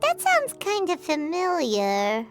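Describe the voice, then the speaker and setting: A woman's voice: extremely high pitch, wildly swooping pitch, slow, heavily acted. A woman voicing a tiny, chirpy, childlike video game companion, eager and bubbly. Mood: curious. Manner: bubbly, exclamatory. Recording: prominent music under the voice.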